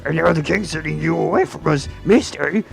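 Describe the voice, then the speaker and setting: A man voicing a gruff, blustering older airship engineer from a video game, gravelly, folksy and blunt. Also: a little high, fairly quick. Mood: worried grumbling. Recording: loud game music behind.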